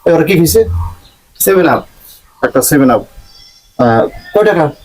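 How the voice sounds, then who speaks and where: An actor plays a showy, put-upon big spender with a Bangladeshi accent. His voice sits high for a man and moves about animatedly as he complains in comic-drama dialogue. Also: lively intonation, unhurried, darkish tone, husky, low-quality recording. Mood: breezy, evasive. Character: put-upon spender boasting and moaning about festival expenses.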